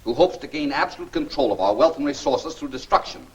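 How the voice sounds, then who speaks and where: An actor playing a shrewd, composed gentleman sleuth in a 1940s film serial, speaking with articulate authority. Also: a little high, lively intonation, quick pace. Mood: grave, assured. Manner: articulate, authoritative, takes charge. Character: shrewd, composed gentleman sleuth-scientist, secret crime fighter. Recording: noisy, distant 1940s film soundtrack.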